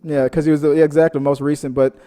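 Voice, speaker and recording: A man hosting a casual pop-culture show, telling stories in a rambling, animated way. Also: fairly high pitch, fast. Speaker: podcast host. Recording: reverberant, echoing room sound.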